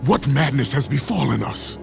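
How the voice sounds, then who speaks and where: An actor playing an angry, defiant Greek warrior bound to the gods, gruff and demanding, in a video game cutscene. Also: male voice, medium pitch, lively intonation, moderate pace, music in the background.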